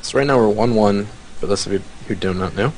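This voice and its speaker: A man's voice: on the low side, quick pace, a little mellow, low-quality recording. A man co-commentating a live esports match, offering opinions and jokes. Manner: opinionated, joking color commentary.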